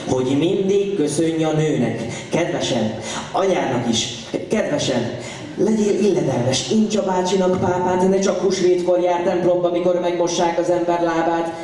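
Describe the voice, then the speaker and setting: A man's voice: fairly high pitch, warm tone. A young man performing slam poetry to a live audience in a theatrical, impassioned and playful way. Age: young adult. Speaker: slam poet. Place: large indoor venue, on stage. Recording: echoey hall from far off, audience audible. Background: live crowd reacting.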